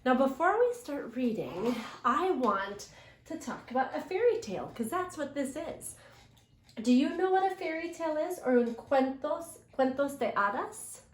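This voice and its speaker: A woman teacher leading a read-aloud lesson for young children, asking questions and pausing to affirm their answers. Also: high voice, animated. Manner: interactive, asks questions and affirms imagined answers. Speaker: elementary English language development teacher. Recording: roomy, echoing sound.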